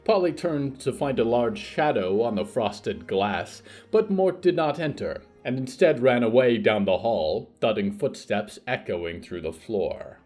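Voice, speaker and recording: Husky-voiced man narrating with lively, theatrical pitch. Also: soft background music. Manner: theatrical, formal, measured storytelling. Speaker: narrator of a horror fiction podcast. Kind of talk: dramatic scripted narration.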